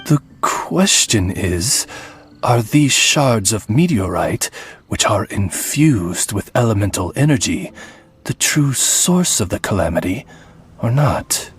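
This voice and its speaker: A man voicing a courtly, formal servant familiar in a video game, measured and deferential with ornate diction. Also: fairly low pitch, sing-song, husky, soft background music, heavily acted. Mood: pondering. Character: courtly, formal male familiar serving a princess. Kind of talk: video game cutscene acting.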